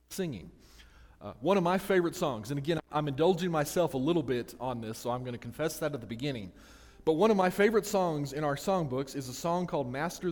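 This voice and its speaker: A man's voice: a little high, darkish tone. A man preaching a sermon to his church in a casual, self-deprecating way, often restarting his sentences. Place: church sanctuary. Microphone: handheld or stand microphone. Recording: long church reverb.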